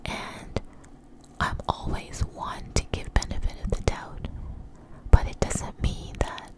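A woman telling a story in a breathy, close-up ASMR whisper, halting and unhurried, with frequent pauses. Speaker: ASMR video creator. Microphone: close microphone.

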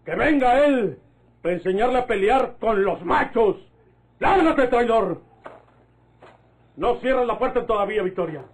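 An actor playing a proud, vengeful bandit with a northern Mexican accent in an old radio drama, commanding and taunting. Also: male voice, very high voice, animated, measured pace, pauses often, heavily acted, rough recording. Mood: scornful, commanding.

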